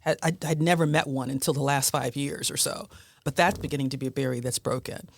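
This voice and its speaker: A woman's voice: rumbling low, steady tone, quick pace, creaky. A woman in her fifties, a physician hosting a podcast, chatting casually with guests and laughing between remarks. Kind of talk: casual panel conversation.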